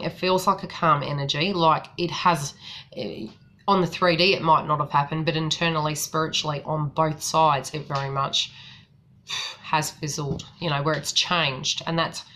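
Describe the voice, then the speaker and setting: Woman, New Zealand accent, low voice, bright tone, chatty tarot reader, faint hiss behind. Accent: New Zealand, softened by Australian. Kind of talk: casual live-stream chat.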